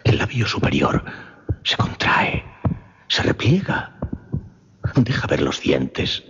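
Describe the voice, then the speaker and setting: An actor playing a grave mesmerist who recounts a horrifying case in a husky, breathy voice, halting and suspenseful, in radio drama style. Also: male voice, rumbling low, very animated, a bit bright, exaggerated voice. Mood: hushed dread. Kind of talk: dramatic radio horror storytelling. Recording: dull radio drama recording.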